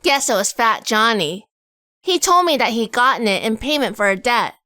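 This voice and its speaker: A woman's voice: fairly high pitch, lively intonation, normal speed, bright, forceful tone, no echo, clean studio sound. A woman playing a sassy, blunt gangster's sweetheart in 1940s radio drama style. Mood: concerned, dry.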